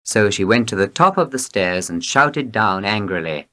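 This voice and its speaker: A man's voice: fairly low pitch, expressive, moderate pace, bright, forceful tone. A man reading short tales as scripted narration, with a storyteller's delivery. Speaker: storybook reader.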